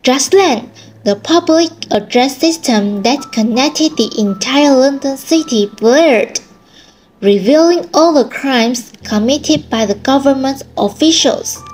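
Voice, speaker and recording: A woman's voice: sits high, lively intonation, takes it slowly, darkish tone, soft background music, low-quality recording. A woman delivering scripted narration for a radio drama.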